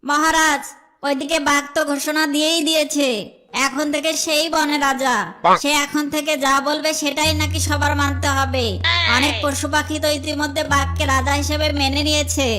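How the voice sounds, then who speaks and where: A woman voicing a sly, flattering, scheming jackal in a children's cartoon. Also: sits high, normal speed, faint music behind. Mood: urgent, goading. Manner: wheedling, flattering, theatrical.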